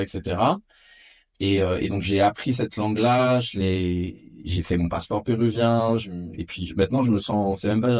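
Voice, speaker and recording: A man in his thirties from France, a podcast guest telling stories of his youth in a casual, animated way with many hesitations. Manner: animated, lots of filler hesitations. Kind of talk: casual storytelling. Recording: dull low-fidelity podcast audio.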